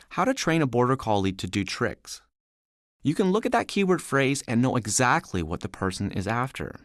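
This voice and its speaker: A man delivering scripted instructional narration as a voice-over, explaining clearly and stressing key words. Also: medium pitch, dry recording, studio quality.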